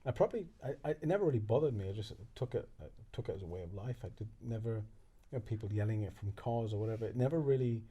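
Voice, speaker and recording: A man's voice: lowish voice, animated, fast, few pauses, warm tone, slightly rough, muffled recording. A man from London talking conversationally as a guest, probing with questions and reflecting on what he hears. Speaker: podcast guest, rabbi. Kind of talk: conversational discussion.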